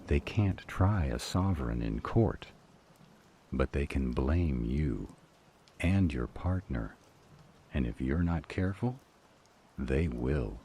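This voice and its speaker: A man playing a formal, measured supernatural counsel, cautious and grave, in an audio roleplay drama. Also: a bass voice, lively intonation, warm tone, a little hiss or hum, exaggerated voice. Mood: grave warning.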